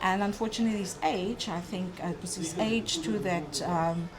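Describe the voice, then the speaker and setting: A middle-aged woman from Cape Town with a South African accent talks earnestly, stopping often to gather her thoughts. Her medium-pitched voice sits over the clear chatter of a crowd. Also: poor audio. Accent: South African English. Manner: earnest, thoughtful, with frequent pauses and fillers. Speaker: guest. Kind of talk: conversational interview.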